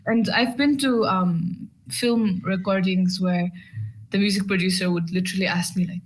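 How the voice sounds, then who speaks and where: A young woman from Bhutan with a deeper voice than most female singers, chatting as a podcast guest in a soft, unhurried, thoughtful way. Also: fairly even intonation, rough recording. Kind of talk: casual podcast chat.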